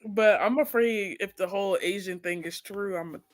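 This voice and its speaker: A woman's voice: mid-range voice, brisk, dry recording. A woman co-hosting a casual podcast chat, playful and teasing, often restarting her sentences. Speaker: podcast co-host.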